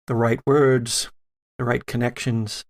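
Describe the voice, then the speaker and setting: Man playing a cryptic, slow-spoken woman guide. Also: mid-range voice, level tone. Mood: grave, uncertain. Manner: halting, cryptic, measured. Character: cryptic, calm woman guide offering grave counsel.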